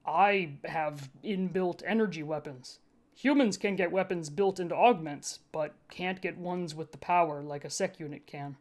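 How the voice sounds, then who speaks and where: A woman voicing a sullen, literal part-bot security cyborg in flat, deliberate, clipped speech for a story reading. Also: fairly low pitch, lightly acted. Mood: defensive, flat.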